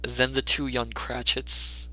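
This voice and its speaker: A man narrating a classic story as a dramatic reader, measured and expressive. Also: mid-range voice, rough recording.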